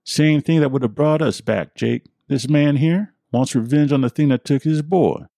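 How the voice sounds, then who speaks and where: A man's voice: a little high, normal speed, gravelly, dark tone, no echo, clean studio sound, voice pushed up. A man voicing a crude, gossipy old country man who cackles over his stories. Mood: knowing.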